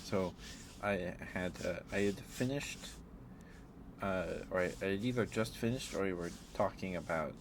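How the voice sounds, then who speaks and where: Low-voiced man rambling on an ASMR stream. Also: normal speed, background noise. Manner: meandering, often chuckling to himself. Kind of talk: rambling ASMR livestream chat.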